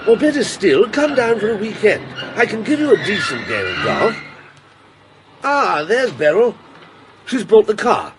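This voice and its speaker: An actor in a radio drama playing a hearty, complacent middle-class gentleman who chats jovially. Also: sits high, big pitch swings, a little slow, background noise. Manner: jovial, chatty.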